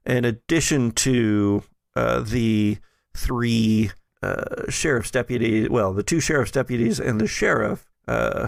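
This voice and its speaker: A man narrating as game master in a tabletop role-play, halting, with many fillers. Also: medium pitch, gravelly, no echo, clean studio sound.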